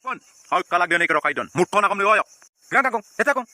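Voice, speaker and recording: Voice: mid-range voice, animated, quick pace, noisy background, close to an everyday voice. An actor voicing a scolding, exasperated schoolteacher in a cartoon comedy. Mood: stern.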